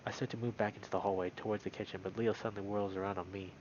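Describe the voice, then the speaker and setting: A man reading a visual novel aloud as a let's-play host, in an expressive, dramatic storytelling style. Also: lowish voice, very fast, lo-fi sound, slight background noise. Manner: dramatic, with loud reactions. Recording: music behind the voice. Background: game music.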